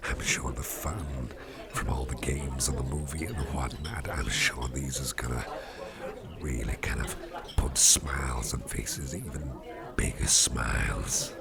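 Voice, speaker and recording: A man playing a friendly, rambling boss in an ASMR roleplay, chatting personally to the listener in a deep, gravelly voice. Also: heavily acted. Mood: warm, flirty. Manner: rambling, chummy, halting, repeats phrases. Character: friendly, rambling male boss at a Halloween party. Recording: music playing behind the voice.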